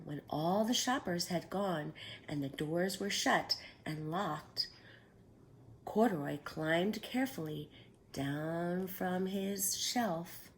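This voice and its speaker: A woman reading a children's picture book aloud at storytime, animated and pausing to ask her young listeners questions. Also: low-pitched, a little hiss or hum.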